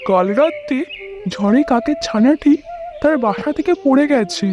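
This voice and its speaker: A man's voice: very high-pitched, animated, moderate pace, muffled recording. A man voicing a caring bird husband in a children's cartoon, speaking in an earnest, soothing way. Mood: alarmed.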